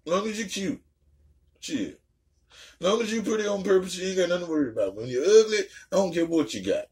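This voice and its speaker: Reaction host: male, higher register, blunt street-slang chat, freely profane, stammers on repeated words. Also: expressive, brisk, darkish tone. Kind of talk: casual chat reacting to a story.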